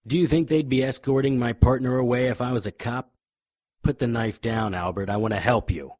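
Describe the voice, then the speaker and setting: An actor playing a deadpan, hardboiled private investigator, narrating and speaking in a noir audio drama. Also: male voice, medium pitch, fairly quick, no echo, close to an everyday voice. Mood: calm, pressing. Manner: deadpan, wisecracking.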